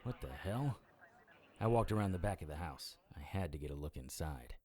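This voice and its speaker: An actor playing a wry, world-weary immortal investigator in middle age, with a dry, dramatic delivery in an audio drama. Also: male voice, deep voice, animated, moderate pace, a little mellow. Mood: tense, matter-of-fact. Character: wry world-weary immortal male investigator who looks about forty. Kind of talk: audio drama dialogue and first-person noir narration.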